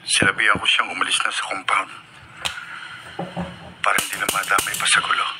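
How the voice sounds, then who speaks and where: A man's voice: fairly low pitch, level tone, fairly quick, natural voice. An actor playing a troubled, humble younger man in a Filipino radio drama, solemn, respectful and hesitant. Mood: resigned, solemn. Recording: noisy radio broadcast.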